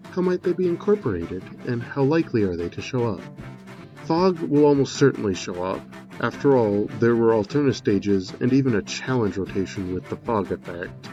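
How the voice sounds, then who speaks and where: A man giving a measured, explanatory voice-over commentary, semi-scripted, as a video creator analysing a game trailer. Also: lowish voice, dark tone, highs cut off.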